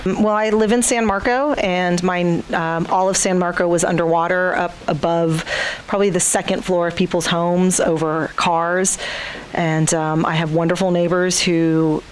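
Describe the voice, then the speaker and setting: An adult recalling their experience in an even way, with fillers. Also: medium pitch, moderate pace, a little hiss or hum, poor audio. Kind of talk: recounting experience.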